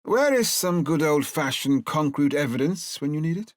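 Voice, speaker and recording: An actor playing a wry older police detective with formal, old-fashioned phrasing in an audiobook drama. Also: male voice, on the high side, expressive, normal speed, no room sound, studio quality, lightly acted. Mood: wry, scheming. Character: older male police detective, wry, theatrical, outwardly cordial. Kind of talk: full-cast audiobook drama acting.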